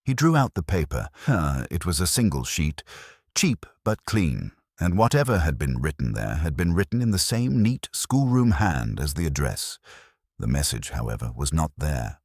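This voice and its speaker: A man narrating a period mystery story in a measured, dryly humorous manner. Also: extremely low pitch, sing-song, darkish tone, dry recording, clean studio sound.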